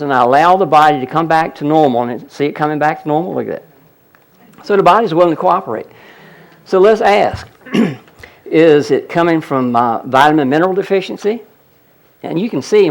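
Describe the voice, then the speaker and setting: A man presenting a healing seminar, explaining a live demonstration to an audience and repeatedly pointing things out. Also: a little high. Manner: explanatory, audience-addressing, frequent "look at that".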